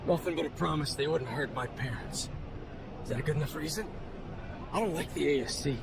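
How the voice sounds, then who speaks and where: A man's voice: on the high side, brisk. A man playing a bitter, cornered soldier turned informant in a video game, defiant and colloquial. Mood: bitter, resigned. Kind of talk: video game cutscene acting. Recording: clear background noise.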